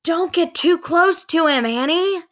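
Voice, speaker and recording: A woman's voice: very high voice, measured pace, fairly bright tone, voice pushed up. A woman reads as a bookish young boy in a children's story. He sounds careful and skeptical, speaking with hesitation and doubt. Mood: alarmed.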